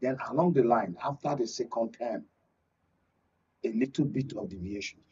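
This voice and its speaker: Husky Nigerian male political analyst, distant sound. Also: mid-range voice, normal speed, warm tone. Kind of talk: political panel discussion. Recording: dull, distant studio sound.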